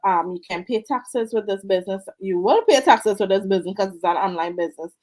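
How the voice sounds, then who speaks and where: A woman from Trinidad and Tobago with a Trinidadian accent, speaking on a video call as an interview guest, earnest and warm and quick to laugh. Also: mid-range voice. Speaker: guest, online business mentor. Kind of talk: casual interview.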